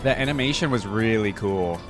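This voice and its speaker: A man's voice: lowish voice, expressive, a little fast. Casual man reacting to a game, music behind. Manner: informal, thinks aloud. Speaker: reaction video host, gamer.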